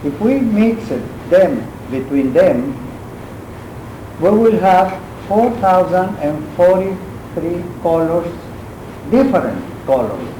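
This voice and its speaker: A man with a Mexican accent and Spanish as his first language, giving an art lecture in English, halting and searching for words. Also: high voice, lively intonation, dark tone. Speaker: guest painter lecturing. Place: university lecture room. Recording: echoey classroom with noise.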